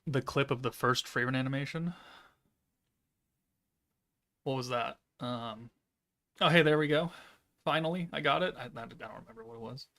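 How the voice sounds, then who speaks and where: A man in his thirties streaming a game from home, chatting casually and reading chat aloud in a monotone, deadpan way. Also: mid-range voice, fairly quick. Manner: monotone, laid-back, deadpan. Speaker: gaming streamer.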